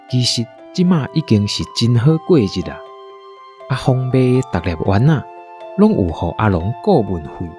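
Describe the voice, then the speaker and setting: A man with a Taiwanese accent narrating a scripted story as an audio drama narrator, in a husky voice. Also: medium pitch, dark tone.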